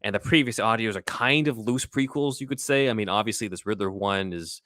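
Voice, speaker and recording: A man's voice: fairly low pitch, fairly quick, sharp tone, dry recording, studio quality. A man hosting a podcast, explaining things in a casual, chatty style. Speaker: podcast host.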